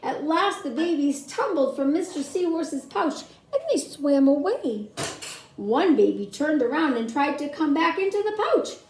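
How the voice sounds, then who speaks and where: A woman reads a children's story slowly, with a high and lively voice. She speaks straight to young listeners, inviting them into the book. The room adds echo to her voice. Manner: expressive, addressing young listeners with questions. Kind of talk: read-aloud children's story with asides to listeners. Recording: roomy echo, faint background noise.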